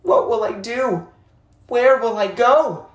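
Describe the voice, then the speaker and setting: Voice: mid-range voice, slow pace, pitched above the performer's own voice, close to an everyday voice. A storybook narrator voicing a frightened, polite young refugee boy, earnest and anxious. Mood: panicked. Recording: echoey, roomy sound.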